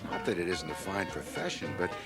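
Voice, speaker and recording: A man's voice: low-pitched, big pitch swings, a little fast, over loud music. An actor playing a brash, ambitious young businessman in a radio drama, with a hearty, boastful, opinionated manner. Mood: breezy advising. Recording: 1980 radio drama cassette transfer.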